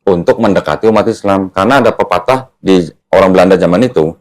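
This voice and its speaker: Low-voiced man teaching in conversation. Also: quick pace, a little mellow. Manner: didactic, checks the listener follows. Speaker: host of a religious discussion. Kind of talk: conversational teaching with leading questions.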